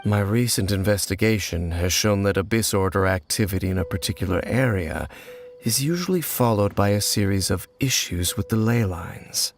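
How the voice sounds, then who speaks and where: A man playing a solemn, brooding wanderer in a video game, speaking in a measured, grave and formal way. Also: on the low side, lively intonation. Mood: analytical, serious. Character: solemn, brooding male wanderer guarding grim old secrets. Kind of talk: scripted video game dialogue.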